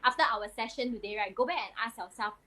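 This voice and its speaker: High-voiced Singaporean woman hosting. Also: fast, sharp tone. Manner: chatty, coaching tone, loose grammar. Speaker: live stream host. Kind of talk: casual live stream chat.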